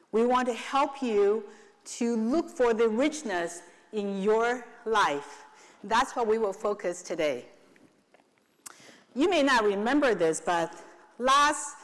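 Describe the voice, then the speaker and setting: A woman from Taiwan hosts a client event from the stage, playful and unhurried. Her voice sits a bit high, and it rings out with the long reverb of a large hall. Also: a bit bright. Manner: playful, leads the audience in call and response. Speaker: attorney and event host.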